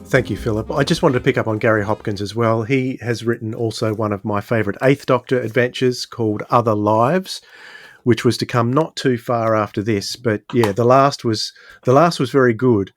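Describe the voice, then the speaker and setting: A middle-aged man co-hosts in a casual conversational style. His voice sits at an average pitch and sounds very dry, free of room echo. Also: fairly even intonation, moderate pace, faint music behind. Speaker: podcast co-host. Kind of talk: casual discussion and interviewing.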